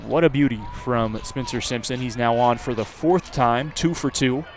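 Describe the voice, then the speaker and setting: A man's voice: medium pitch, normal speed. Male baseball announcer over outdoor background noise. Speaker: play-by-play announcer. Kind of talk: live baseball radio play-by-play commentary. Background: outdoor ballpark ambience.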